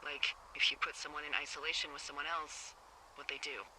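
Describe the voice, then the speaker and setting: An actress playing a wry, watchful fire lookout in a video game, talking conversationally and sardonically over a two-way radio. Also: female voice, low voice, monotone, fast talker, background noise. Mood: uneasy. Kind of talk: naturalistic video game dialogue.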